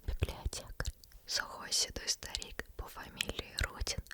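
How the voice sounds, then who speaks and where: A woman whispering as a teasing female demon who reads a bedtime story, slow and intimate, close to the microphone. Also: natural voice. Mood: hushed. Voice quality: soft unvoiced whisper throughout. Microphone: close sensitive ASMR microphone.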